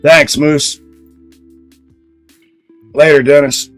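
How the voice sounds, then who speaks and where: A man hosting a live stock trading stream, talking with a loud speaking voice and answering viewers bluntly. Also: on the high side, little pitch movement, extremely slow, no echo, faint music behind. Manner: blunt, answers chat comments directly. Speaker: stock trading livestream host. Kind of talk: casual livestream commentary.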